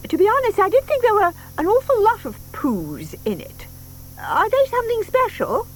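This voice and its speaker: High, fluttery older lady. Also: very animated, moderate pace, rough recording. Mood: trusting. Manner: fluttery, hesitant, polite. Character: sweet, trusting older English lady, easily puzzled. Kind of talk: dramatised children's audiobook acting. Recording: faint hiss from old cassette tape.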